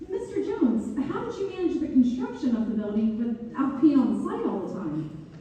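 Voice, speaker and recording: A woman's voice: on the high side, big pitch swings, normal speed, warm tone. An actress playing an inquisitive woman interviewer in a staged read-through. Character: inquisitive woman interviewer questioning town benefactors. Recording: dull, echoey, distant, faint noise.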